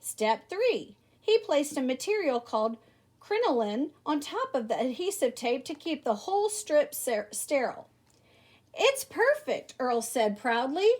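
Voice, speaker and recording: A high-voiced woman reads a children's story aloud. Her pitch swings widely as she leans into the emphasis like a teacher addressing young listeners. The delivery is animated and theatrical. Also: unhurried. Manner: animated, theatrical emphasis with exclamations and rhetorical questions. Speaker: teacher reading a picture book aloud.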